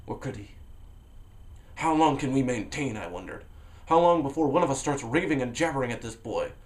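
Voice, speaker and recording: Male vlogger reading a novel aloud, medium pitch, dramatic, leaping loud then dropping to a hush. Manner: expressive, with sudden jumps in pitch and loudness; drops to a low, hushed voice for inner thoughts.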